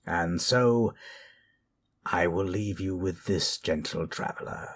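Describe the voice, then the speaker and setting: A man playing a gentle, brooding teller of spooky tales in a slow, hushed, dramatic manner over music. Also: low-pitched, a little hiss or hum. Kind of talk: in-character horror storytelling.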